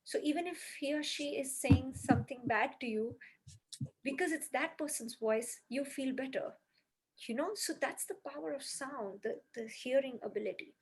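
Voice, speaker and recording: Breathy higher-voiced woman on a podcast, earnest, explains step by step. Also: normal speed, low-quality recording. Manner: earnest, explains step by step, many "you know"s. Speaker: music healing practitioner.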